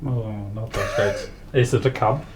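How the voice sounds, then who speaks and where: A man's voice: on the low side, unhurried, a little hiss or hum. A man bantering casually in character as a tabletop game player, stammering and often questioning.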